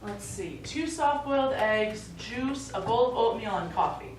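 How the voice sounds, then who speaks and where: Actress as practical middle-aged daughter, coaxing, mildly exasperated, highish voice, stage play, distant echoey recording. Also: female voice, expressive, a little slow. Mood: concerned, coaxing. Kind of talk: live stage play acting. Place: small indoor theatre stage, recorded from afar. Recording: echoey theatre, distant mic, faint noise.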